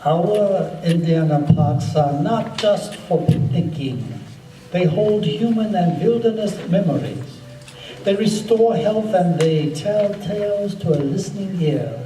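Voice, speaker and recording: A man playing a solemn colonel and parks official in an amateur radio play, speaking in a measured, oratorical way. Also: high-pitched, expressive. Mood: solemn, earnest. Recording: echoey room, distant mic, music behind.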